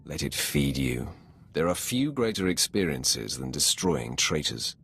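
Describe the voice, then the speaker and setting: A cold, smug Sith master for a video game. His voice is extremely low and gravelly, with imperious, lively swings in intonation. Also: heavily acted. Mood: cold command. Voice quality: very deep, gravelly. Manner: measured, imperious, dryly suggestive. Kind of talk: scripted video game acting.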